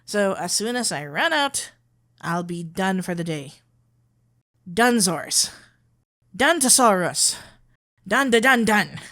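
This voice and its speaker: A woman's voice: mid-range voice, sing-song, takes it slowly, long pauses, fairly bright tone. A woman playing a sweet, flustered florist best friend in an ASMR roleplay, playful and awkwardly hesitant. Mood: friendly, frazzled. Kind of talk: ASMR roleplay acting, one-sided dialogue.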